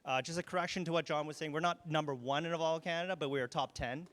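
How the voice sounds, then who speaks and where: Man, smooth and resonant, cheesy-joke sponsor pitch in a reverberant event hall. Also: fast talker, a bit bright. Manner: jokey, laughing, filler-heavy. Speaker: mortgage broker, sponsor.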